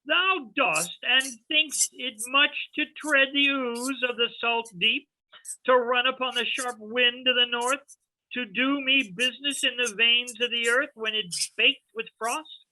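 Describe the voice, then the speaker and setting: A woman's voice: a little high, fairly even intonation, pushed and bright, low-quality recording. A woman playing a commanding, imperious sorcerer in a Shakespeare reading, declaiming theatrically to a servant spirit. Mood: stern. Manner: slow, stern, theatrical declamation.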